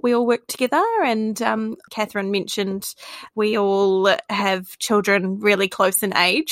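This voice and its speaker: A woman's voice: mid-range voice, vocal fry, a bit bright, dry recording. Chatty young Kiwi woman, dry close sound. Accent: New Zealand. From Australia. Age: 20s. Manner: chatty, laughs often, self-deprecating. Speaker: podcast co-host. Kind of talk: casual chat.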